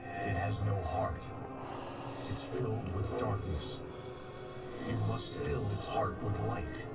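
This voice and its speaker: Grave, cryptic game guide from a nightmare, unhurried low voice, eerie music loud behind. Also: male voice, steady tone. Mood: solemn, foreboding. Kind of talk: dramatic video game acting.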